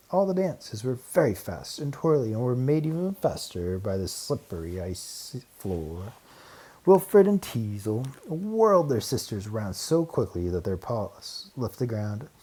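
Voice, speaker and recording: A man reading a children's storybook aloud in an expressive narrating style. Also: lowish voice, slightly rough. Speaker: storybook reader.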